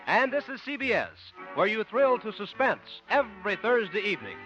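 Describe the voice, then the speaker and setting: Network announcer: man, high-pitched, crisp and formal, dull 1950 radio sound. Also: very animated. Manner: crisp, measured announcer delivery. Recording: muffled 1950 radio broadcast with music.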